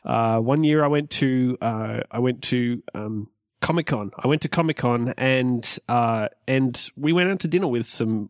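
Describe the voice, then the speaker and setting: A man's voice: medium pitch, no room sound. Guy rambling on a chat podcast, lots of ums and restarts, dry close mic. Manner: rambling, many fillers and restarts. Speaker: podcast co-host. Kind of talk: casual chat.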